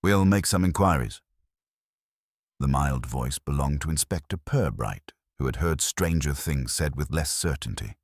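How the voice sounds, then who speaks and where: A man narrating a scripted mystery story in a dry, wry, measured literary manner. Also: a bass voice, slightly rough, dry recording.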